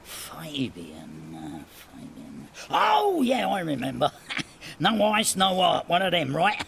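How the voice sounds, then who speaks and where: An actor playing a coarse, sleazy, foul-mouthed body hauler in a video game, with a croaky voice and a working-class British accent. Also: male voice, fairly high pitch, huge pitch swings, slow, a bit bright, slight background noise, exaggerated voice. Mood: gleeful. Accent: British regional working-class. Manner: chuckling, crude, swinging between musing and snapping. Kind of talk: video game character acting.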